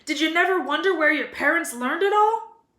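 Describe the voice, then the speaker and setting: Storybook giant man played by a woman: hearty, booming, rustic and quick-tempered, in a roomy space. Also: expressive, bright, forceful tone, full character voice. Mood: outraged. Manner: booming, rustic dialect speech. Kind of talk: children's storybook read-aloud. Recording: roomy echo.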